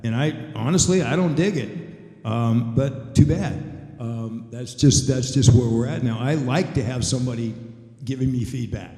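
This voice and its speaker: A man's voice: medium pitch, unhurried, mellow. An older man, a veteran voice actor, giving conversational, plain-spoken advice with a wry, folksy manner on a panel. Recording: echoey hall, long reverb.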